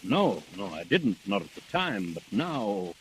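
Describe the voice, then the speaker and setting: An actor playing a hesitant, sceptical family doctor in a 1940s radio murder drama. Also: male voice, lowish voice, big pitch swings, measured pace, slight background noise, low-quality recording. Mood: reluctant, grave.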